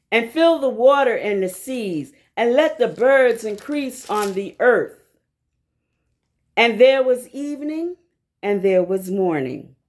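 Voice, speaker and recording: Slow, deliberate woman reading scripture. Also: medium pitch, darkish tone. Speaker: scripture reader. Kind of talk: measured scripted Bible reading.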